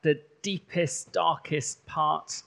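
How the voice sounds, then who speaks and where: A man's voice: fairly high pitch, steady tone, slow pace, creaky. A man from rural Wales, a bishop whose first language is Welsh, giving a chatty sermon in a church with many ums. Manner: warm, conversational preaching with frequent ums.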